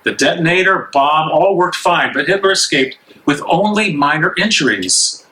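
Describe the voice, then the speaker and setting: A man's voice: a little high, expressive, moderate pace, sharp tone, slight background noise, rough recording. A man acting an angry, bitter imprisoned dissident in an amateur stage reading, agitated, challenging and insistent.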